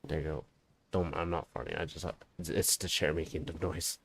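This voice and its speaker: A man's voice: rumbling low, steady tone, fairly quick, vocal fry, slight background noise. A young man streaming a game, chatting casually and joking, sometimes swearing. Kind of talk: live gameplay commentary. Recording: voice over loud game music.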